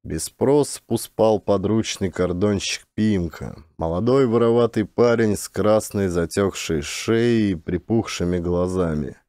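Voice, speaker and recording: A man narrating a scripted audiobook in a measured, expressive storytelling manner. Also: lowish voice, no echo, clean studio sound.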